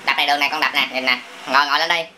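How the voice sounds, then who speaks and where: A man's voice: a little high, steady tone, racing. A man with a southern Vietnamese accent chatting casually and playfully with his young son, often mouthing sound effects. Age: adult. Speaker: father playing with his young son on a family toy channel. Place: small tiled room indoors. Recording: noisy home camera recording, plastic bricks clicking.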